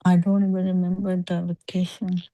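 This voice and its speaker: A woman student on a video call answering slowly, hesitating and thinking aloud with hums. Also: on the low side, monotone, warm tone, dry recording. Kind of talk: online class answers.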